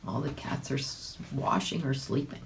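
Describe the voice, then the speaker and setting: A woman with a low voice narrates a children's storybook. She reads in a chatty way, slipping in casual asides and small questions to the listener. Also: normal speed, gravelly, slight background noise. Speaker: volunteer storybook reader.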